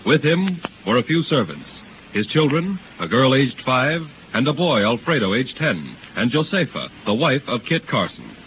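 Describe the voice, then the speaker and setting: Animated male narrator, noisy old radio. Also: medium pitch, normal speed, narrow, tinny audio. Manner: solemn, declamatory. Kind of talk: 1930s radio scripted narration. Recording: noisy, thin 1930s radio recording.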